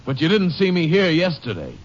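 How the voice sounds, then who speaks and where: A man's voice: a little high, wildly swooping pitch, fairly quick, slightly rough, heavily acted. Defensive gas station man, old radio play, muffled hissy sound with long echo. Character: wary gas station owner suspect, man. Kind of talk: 1940s radio drama acting. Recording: dull old radio recording with hiss.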